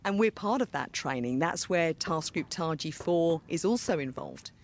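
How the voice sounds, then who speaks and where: A presenter introducing a military program and interviewing a soldier outdoors, speaking in a clear, measured way. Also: medium pitch, animated, a little hiss or hum.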